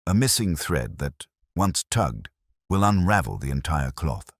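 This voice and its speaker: Man acting brooding gentleman detective, very low voice, swooping emphasis, deliberate, analytical, audiobook character. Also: big pitch swings, no room sound, studio quality, heavily acted. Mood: analytical, intense.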